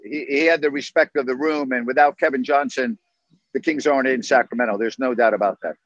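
A man's voice: medium pitch, fairly quick, no echo, lo-fi sound. A man hosting a sports call-in show, upbeat and agreeable as he chats with callers. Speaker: radio sports talk host. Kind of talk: casual call-in chat.

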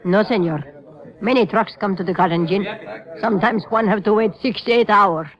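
A man's voice: high voice, normal speed, crowd noise, close to an everyday voice. A man playing a wary, friendly Mexican farm worker in broken English, in a 1950s radio drama style. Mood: explaining.

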